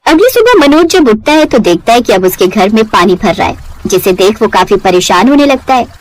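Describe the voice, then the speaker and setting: A woman's voice: on the high side, sing-song, brisk, slight background noise, rough recording. A woman narrating a scripted moral story in a dramatic storytelling style.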